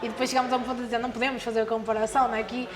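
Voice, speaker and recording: A woman's voice: fairly high pitch, flat delivery, rapid-fire, crowd noise. A young woman from Porto with a northern Portuguese accent, chatting in an interview with frequent giggles. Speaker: interviewee, fighter's wife.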